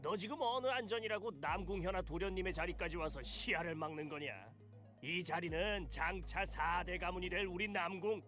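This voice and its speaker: An actor playing an indignant, haughty retainer of a noble house in a video game. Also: male voice, sits high, rapid-fire, fairly bright tone, faint music behind. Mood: haughty outrage.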